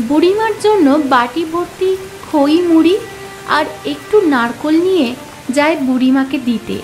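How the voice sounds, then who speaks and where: A woman's voice: high voice, lively intonation, moderate pace, faint music behind, poor audio. A woman narrating a scripted moral story for children.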